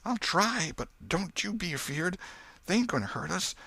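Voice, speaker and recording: A man voicing a superstitious, frightened young boy trying to be brave, talking in hushed, nervous rustic old-time dialect in a storybook reading. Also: high voice, lively intonation, moderate pace, creaky, husky, no room sound, voice pushed up, full character voice. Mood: scared, urgent.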